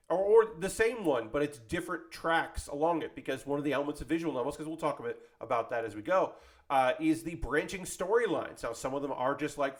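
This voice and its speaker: A man hosting an anime club show, talking in a casual, unscripted, conversational way, rambling and correcting himself as he goes. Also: on the high side. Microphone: close microphone.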